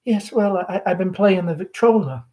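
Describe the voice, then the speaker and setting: A painfully shy young woman from a stage drama, voiced by a man, high and halting. She stammers nervously and hesitates over her words. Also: darkish tone, close to an everyday voice.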